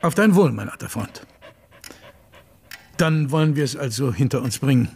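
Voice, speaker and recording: An actor playing a sober, loyal gentleman lawyer in a German radio drama, with a husky voice and a formal, precise manner. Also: medium pitch, expressive, normal speed, exaggerated voice. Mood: concerned, disapproving.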